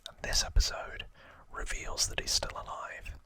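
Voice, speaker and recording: A man speaking in a breathy, gentle whisper, hosting an ASMR trivia explainer close to the microphone. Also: no room sound. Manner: slow, gentle, hushed delivery. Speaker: ASMR video creator and host.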